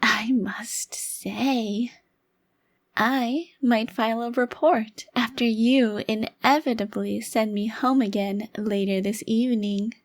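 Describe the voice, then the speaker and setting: A woman's voice: fairly high pitch, fairly even intonation, no echo. A woman playing a smug, taunting, youthful-looking vampire crime lord in an ASMR roleplay, with a theatrical, condescending delivery. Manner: theatrical, condescending, formal wording with drawn-out emphasis. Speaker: audio roleplay performer.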